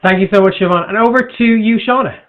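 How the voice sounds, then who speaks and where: A man's voice: high voice, quick pace, phone-quality sound. A man hosting a virtual conference panel from home, talking enthusiastically and repeating words for emphasis. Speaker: host. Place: home room. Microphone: earbuds on video call.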